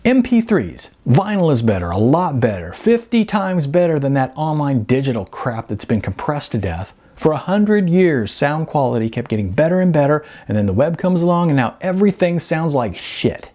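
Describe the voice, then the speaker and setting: Guy doing a fed up sarcastic young music snob, argues everything, lively pitch, audiobook dialogue. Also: normal speed, hardly any pauses. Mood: exasperated, wailing. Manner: sarcastic, argumentative. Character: sardonic, exasperated young male music snob, record store clerk.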